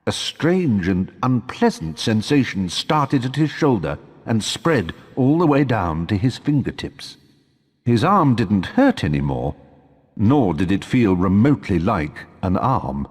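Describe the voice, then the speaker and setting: A man's voice: on the low side, big pitch swings, unhurried, slightly rough, in a large hall. A man narrating a scripted story for a video game as its storyteller.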